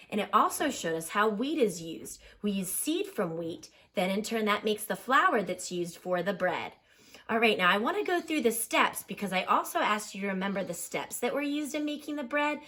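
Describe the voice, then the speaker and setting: Woman, teacher. Medium-pitched, expressive, instructional read-aloud with explanations and questions for kids.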